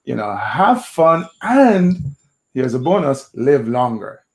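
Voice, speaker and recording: A man with a gravelly, creaky edge hosts a live interview show, asking questions and tossing in playful bursts of hype. His pitch sits in the middle range. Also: very animated, takes it slowly. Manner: playful, hypey asides. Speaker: show host.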